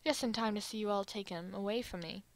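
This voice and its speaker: A woman's voice: mid-range voice, quick pace, close to an everyday voice. A woman voicing a smug, possessive male anime villain with a taunting manner in an amateur fan drama. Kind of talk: amateur fan dub roleplay.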